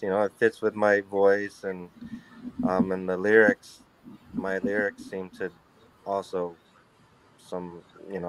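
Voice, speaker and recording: A baritone man being interviewed as a guest musician over a video call, talking in a halting, rambling way with many restarts. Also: rough recording. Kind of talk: casual interview chat. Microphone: webcam on a video call.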